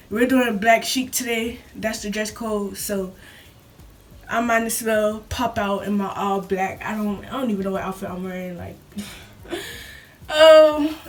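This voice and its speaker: A young woman with a sore throat and a slightly deeper voice, chatting casually and rambling as she films a vlog at home. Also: recorded from a distance. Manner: rambling, talks fast with laughs. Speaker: vlogger. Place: at home in her bathroom.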